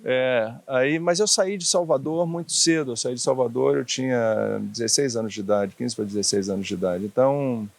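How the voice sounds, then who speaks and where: A man in his sixties from Bahia with a faded Bahian accent, telling anecdotes in a relaxed, chatty interview and laughing often. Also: medium pitch, moderate pace, gravelly, few pauses, no room sound. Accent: faded Bahian Brazilian Portuguese. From Bahia, Brazil. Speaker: guest, former diplomat.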